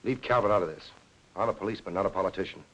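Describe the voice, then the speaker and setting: An actor playing a blunt, hard-boiled police lieutenant in a 1940s film noir, with an American accent and a clipped, pressing way of talking. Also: male voice, low-pitched, very fast, muffled recording. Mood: gruff, guarded. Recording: noisy 1940s film soundtrack.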